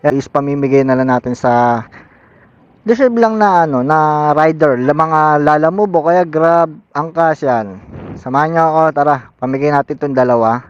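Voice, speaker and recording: Muffled, upbeat Filipino man, higher-pitched voice. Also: normal speed, smooth, no room sound. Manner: informal, upbeat street talk with English mixed in. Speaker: motorcycle vlogger. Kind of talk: casual vlog chat to viewers while riding. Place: outdoors on a motorcycle in city streets.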